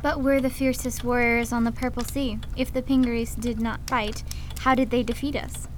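Woman playing an inquisitive, high-voiced young daughter. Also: level tone, moderate pace, slight background noise, lightly acted. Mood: puzzled. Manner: questioning, direct. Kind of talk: fantasy radio drama acting.

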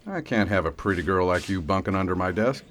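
A man's voice: sits low, lively intonation, fairly quick, a bit bright. A man playing a kindly, self-deprecating sheriff in an audio drama, with a wry, sarcastic way of talking. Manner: wry, sarcastic, mumbled asides.

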